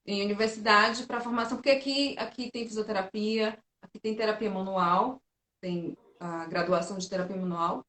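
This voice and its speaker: A Brazilian woman, a physiotherapist and teacher, chatting on a live stream. She tells her stories with animated quoted voices, and her voice carries the echo of a roomy space. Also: medium pitch, normal speed. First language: Portuguese. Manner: lively storyteller, animated quoting. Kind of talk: casual live-stream interview.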